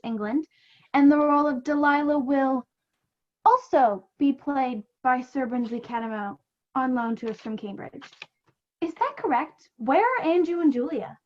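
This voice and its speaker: A woman's voice: sits high, lightly acted. A young woman playing a self-important, exasperated radio play director in a scripted comedy, with a pompous announcing manner. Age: young adult. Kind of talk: scripted comedy stage acting. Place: room at home. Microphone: video call. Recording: dull, lo-fi video call audio.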